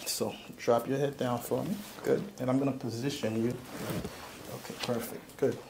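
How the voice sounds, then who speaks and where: Male chiropractor, middle-aged, mid-range voice, calm short instructions to a patient, noticeable background noise. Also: mid-range voice, normal speed. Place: small chiropractic treatment room. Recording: clearly noisy room sound.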